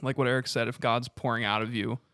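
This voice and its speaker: Smooth, even-toned agreeable man. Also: mid-range voice, fast, no echo, clean studio sound. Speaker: podcast panelist. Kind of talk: casual chat.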